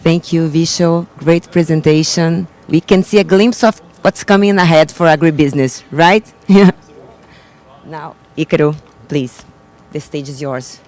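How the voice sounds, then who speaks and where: A woman's voice: low voice, measured pace, a little mellow. A woman moderating a conference panel with brief, upbeat handovers between speakers.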